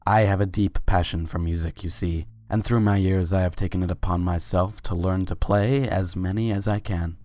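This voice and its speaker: A man voicing a suave, courtly pony Sultan who speaks formally and flatters without hurry in a fan fiction reading. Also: deep voice, moderate pace, hardly any pauses, close to an everyday voice. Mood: smooth, inviting. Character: suave, courtly winged unicorn Sultan, adult male pony.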